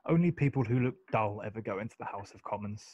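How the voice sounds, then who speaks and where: An actor playing an idle, witty young English gentleman in a radio play, speaking in a flippant, polite way. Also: lowish voice, fast, dry recording, close to an everyday voice. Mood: languid, witty. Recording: dull, lo-fi home recording.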